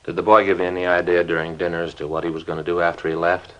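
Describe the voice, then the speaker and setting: An actor playing a grave, courteous American police detective in an old radio crime drama, speaking in a measured, understated way. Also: male voice, low-pitched, level tone, a bit bright, lo-fi sound. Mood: grave, gentle.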